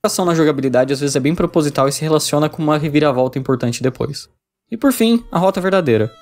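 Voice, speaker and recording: A man's voice: a little high, lively intonation, quick pace, a little mellow. A man with a Brazilian accent reading a scripted video review.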